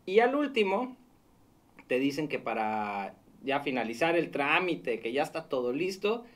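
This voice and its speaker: A Mexican man in his thirties talks into a studio boom mic in a small radio booth. His voice sits a little high, and his delivery is earnest and cautionary, laying out the facts plainly for listeners. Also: sing-song, unhurried, a bit bright. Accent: Mexican Spanish. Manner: earnest, warning, explanatory. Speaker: radio show consumer-fraud reporter. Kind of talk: radio talk segment. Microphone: studio mic on boom arm.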